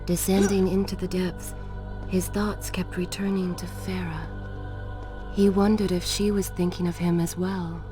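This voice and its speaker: A woman playing a grave storyteller, narrating an epic legend in a measured, portentous manner for a video game. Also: fairly low pitch, little pitch movement, natural voice. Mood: wistful. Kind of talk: scripted dramatic narration. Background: music.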